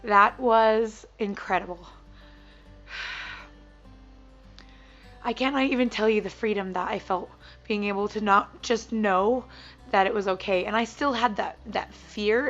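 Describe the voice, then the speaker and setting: A woman in her thirties vlogging casually to camera, her voice gravelly and froggy from strain, chatty and sappy and quick to laugh. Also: medium pitch, fairly even intonation, fairly bright tone, muffled recording, faint music behind. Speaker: weight-loss vlogger.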